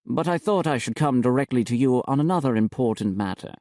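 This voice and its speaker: A man's voice: medium pitch, lively intonation, darkish tone, dry recording, clean studio sound, pitched above the performer's own voice, close to an everyday voice. A man doing a smug scheming bishop. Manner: slow, gloating.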